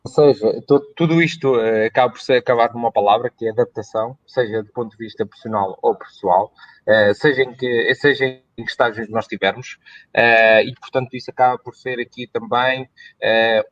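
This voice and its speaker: A man's voice: mid-range voice, steady tone, moderate pace, no echo. A man with a European Portuguese accent hosting a live online interview, asking long rambling questions full of restarts. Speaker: host, interviewer. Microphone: video call. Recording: dry, dull lo-fi sound.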